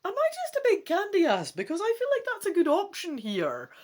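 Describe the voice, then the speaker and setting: A woman hosting a gamebook playthrough, reading dramatically in an expressive, playful way with casual asides. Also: very high-pitched, brisk. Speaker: host of a gamebook let's play.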